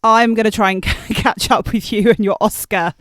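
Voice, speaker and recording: A woman's voice: medium pitch, fairly even intonation, normal speed, fairly bright tone, no room sound. A woman co-hosting a casual film podcast, giving her opinions in an analytical, conversational way. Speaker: podcast co-host.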